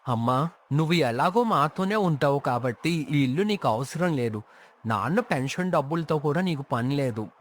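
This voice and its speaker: A man's voice: fairly high pitch, lively intonation, normal speed, natural voice. A man playing a callous, greedy grown son, blunt and complaining, in a dramatized moral story. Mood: dismissive.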